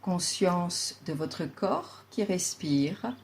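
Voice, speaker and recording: A woman leading a guided yoga relaxation as an instructor, speaking in a calm, soothing and deliberate way with long pauses. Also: lowish voice, a little hiss or hum.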